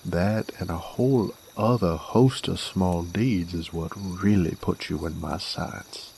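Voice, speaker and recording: Guy doing a low slow gravelly werewolf bounty hunter, old west drawl, smug n taunting, audio drama thing. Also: a little mellow, slight background noise, exaggerated voice. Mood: calm, menacing. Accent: American Western drawl. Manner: folksy, taunting, unhurried drawl. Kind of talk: Western audio roleplay, intimate dramatic monologue. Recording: faint outdoor night ambience with crickets. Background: crickets and insects.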